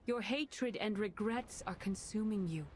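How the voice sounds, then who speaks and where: A woman's voice: medium pitch, measured pace, a little mellow, slight background noise, lightly acted. An actress playing a composed, earnest female Jedi leader in a video game. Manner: earnest and pleading.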